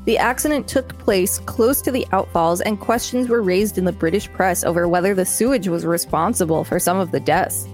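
A woman co-hosting a podcast, reading an article aloud and bantering in a deadpan, teasing way. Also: on the low side, moderate pace, few pauses, soft background music. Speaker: podcast co-host.

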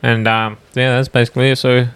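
Smooth-voiced, laid-back young Australian man. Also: mid-range voice, little pitch movement, fairly quick, a bit bright, dry recording. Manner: laid-back, sweary, slangy. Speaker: podcast co-host. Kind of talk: casual chat. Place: smallish room in a home.

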